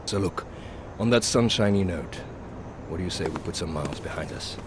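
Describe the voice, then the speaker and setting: A weary, bitter pilot, trading dry, sardonic lines in a game cutscene. His fairly low voice sinks at the ends of phrases, and a steady engine hum rumbles behind him. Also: fairly quick. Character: weary middle-aged male pilot, wry and bitter. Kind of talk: video game cutscene dialogue.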